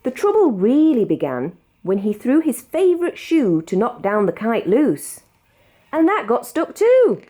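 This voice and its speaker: A woman with a British accent reading a children's picture book aloud in an expressive, measured storytelling manner. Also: on the high side. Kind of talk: read-aloud storybook narration.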